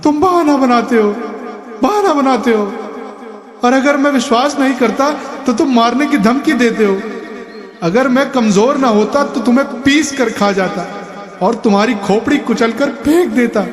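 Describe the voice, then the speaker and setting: A shrill whiny sick old man. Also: very animated, moderate pace, a little mellow. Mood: whimpering. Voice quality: shrill whining wail. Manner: breathless panting complaints. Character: sickly frail old man, whiny and tearful. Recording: echoey room with long reverb.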